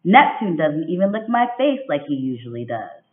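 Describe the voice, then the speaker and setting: A woman voicing a moody little girl who narrates a storybook, with expressive, emphatic delivery in a roomy recording. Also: low-pitched, normal speed, background noise. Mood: exasperated, dramatic. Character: moody, dramatic little girl telling her miserable day. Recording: dull, roomy home webcam recording.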